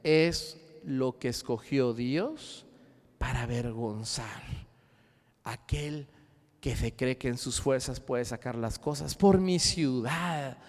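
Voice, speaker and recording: A man preaching a sermon as pastor, swinging between loud rising cries and hushed low asides. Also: slow, in a large hall. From Mexico. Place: church sanctuary.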